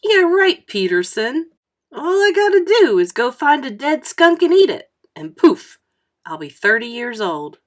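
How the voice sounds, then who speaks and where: A woman's voice: high-pitched, sing-song, a little slow, voice pushed up. A woman voicing a wary, wry fourth-grade boy from a storybook, in plain protesting tones. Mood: sarcastic.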